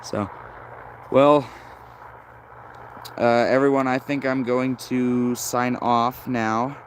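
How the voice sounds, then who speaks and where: A man hosting a hobby live stream, chatting casually and haltingly as he thinks aloud like a keen enthusiast. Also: medium pitch, flat delivery, slight background noise, low-quality recording. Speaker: host of a shortwave radio listening stream.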